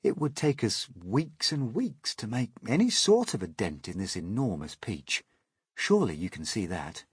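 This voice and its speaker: A man's voice: lowish voice, very animated, moderate pace, husky, no room sound, clean studio sound, voice pushed up, exaggerated voice. A man voicing a kind, sensible young boy who reasons patiently and earnestly in a storybook.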